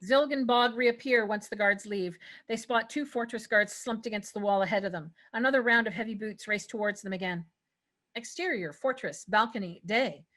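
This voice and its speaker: A woman's voice: medium pitch, moderate pace, fairly bright tone. A woman narrating stage directions at a scripted table read, occasionally stumbling over words.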